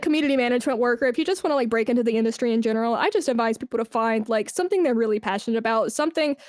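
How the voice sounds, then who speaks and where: A woman with a fairly high voice, recorded close and dry, speaks as a guest in a conversational interview. She gives career advice informally, with encouragement and plenty of emphasis. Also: a little mellow, no echo. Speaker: podcast guest, esports brand and community manager.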